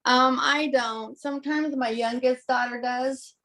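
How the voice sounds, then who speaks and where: A woman's voice: high voice, steady tone, a little slow, sharp tone. Woman dog owner talking over a call. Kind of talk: casual chat.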